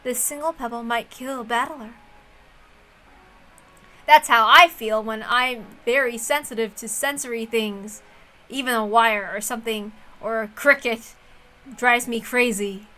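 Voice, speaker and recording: Bright, higher-voiced woman reading aloud. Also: fairly even intonation, a little slow. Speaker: amateur visual novel reader. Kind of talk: expressive read-aloud narration.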